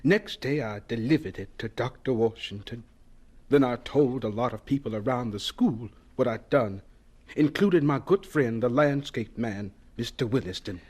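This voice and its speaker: Actor as a husky, easily wounded professor. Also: male voice, mid-range voice, moderate pace, a little mellow, lightly acted. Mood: resigned. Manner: emotional, swinging from eager to wounded. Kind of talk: radio drama acting.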